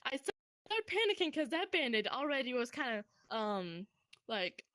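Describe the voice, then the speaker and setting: A young woman with a fairly high voice tells a story casually to a friend. Her intonation swings widely as she rambles and curses freely. The sound is dry, with very little room echo. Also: a little slow. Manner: animated, profane, rambling.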